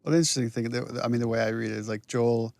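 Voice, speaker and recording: A man giving casual commentary as a creative lead, explaining scenes in halting, feeling-it-out phrases. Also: mid-range voice, steady tone, vocal fry, a little mellow, no room sound.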